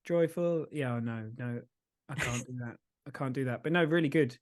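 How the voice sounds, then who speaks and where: A man's voice: mid-range voice, moderate pace. A man co-hosting a podcast in casual chat, with a jokey, tongue-in-cheek way of talking. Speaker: podcast co-host.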